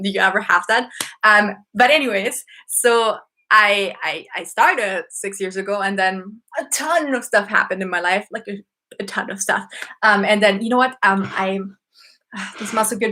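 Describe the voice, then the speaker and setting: A woman's voice: mid-range voice, pushed and bright. A woman in her thirties from Austria with an Austrian German accent, chatting casually and bubbly on a home live stream, full of fillers and restarts. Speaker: YouTuber and business owner.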